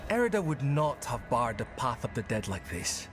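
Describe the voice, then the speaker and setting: Earnest young druid companion, played by a man, mid-range voice, dramatic game dialogue over clear music. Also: mid-range voice, sing-song, moderate pace, close to an everyday voice. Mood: wary, puzzled.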